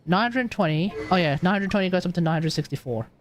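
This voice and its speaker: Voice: medium pitch, expressive, fast talker, slight background noise. An Australian streamer giving casual gaming commentary, muttering to themselves and mildly swearing as they go. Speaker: livestream host, gamer. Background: video game music.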